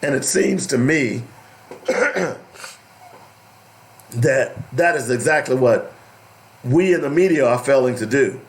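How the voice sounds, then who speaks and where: A man hosting an opinionated radio talk show, deliberate and emphatic, with a strained, cracking voice that is giving out. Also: medium pitch, animated. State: losing his voice. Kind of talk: opinionated radio talk, partly reading aloud.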